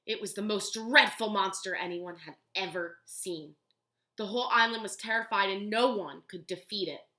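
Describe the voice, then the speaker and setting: A woman voicing a kindly old male storyteller who speaks slowly and gravely, in an echoey room. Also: medium pitch, bright, forceful tone, natural voice. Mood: solemn.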